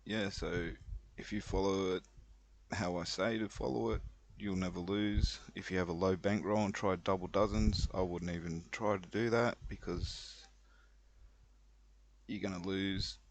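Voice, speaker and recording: Low-voiced man in casual commentary. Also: steady tone, a little slow, no echo. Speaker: online roulette player explaining a betting strategy. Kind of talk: casual screen-recorded commentary.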